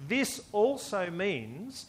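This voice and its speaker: A man's voice: high voice, big pitch swings, extremely slow, breathy edge. A man with an Australian accent preaching a sermon, posing questions to his listeners and repeating phrases for emphasis. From born in the US, lived most of his life in Australia. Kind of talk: expository sermon to a congregation.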